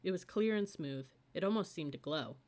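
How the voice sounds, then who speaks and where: A woman's voice: on the low side, lively intonation, normal speed, darkish tone. A woman reading a children's audiobook as scripted story narration. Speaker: audiobook reader.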